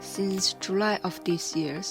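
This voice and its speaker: A woman's voice: mid-range voice, slow, gravelly. A woman presenting a news report, reading scripted copy. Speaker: news anchor. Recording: music behind.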